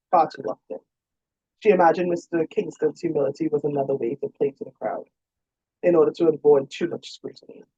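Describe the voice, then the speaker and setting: Husky, mellow woman reading romance fiction. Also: low voice. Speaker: author reading own novel. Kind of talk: scripted romance narration. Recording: roomy, dull lo-fi sound.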